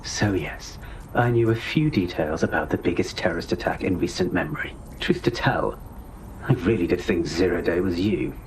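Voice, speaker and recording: A haughty British spy villain, low-voiced and condescending. He speaks with pompous scorn in an echoey, noisy space. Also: expressive, moderate pace. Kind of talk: video game voice acting. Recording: echoey room with clear noise.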